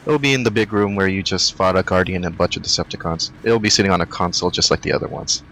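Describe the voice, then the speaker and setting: A man narrating a video game walkthrough, giving brisk, matter-of-fact directions to collectibles. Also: deep voice, bright, forceful tone, a little hiss or hum, low-quality recording. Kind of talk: walkthrough voice-over.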